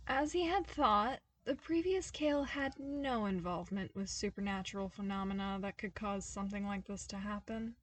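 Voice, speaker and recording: A woman reading a fan fiction story aloud as a podfic, with an expressive delivery that bursts into exasperated comic outbursts. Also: medium pitch. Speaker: podfic reader.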